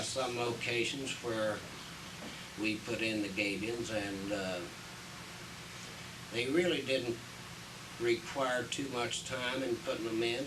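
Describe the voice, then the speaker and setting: A man giving unscripted explanations as an interviewed city official, halting and hesitant, often pausing mid-phrase, on an old 1980s video soundtrack. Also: mid-range voice. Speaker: city public works official being interviewed. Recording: distant mic, noisy 1980s video soundtrack.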